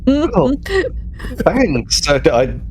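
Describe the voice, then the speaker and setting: A man's voice: high voice, huge pitch swings, very slow, noisy background. A man playing in a tabletop roleplaying game, speaking casually with a hesitant, stammering delivery.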